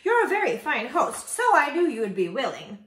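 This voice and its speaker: A woman's voice: high-pitched, big pitch swings, fairly quick, fairly bright tone, echoey room, slight background noise, pitched above the performer's own voice. A woman voicing a brash, cheerfully presumptuous little male bird in a storybook, chirpy and cocky. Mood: smug, breezy.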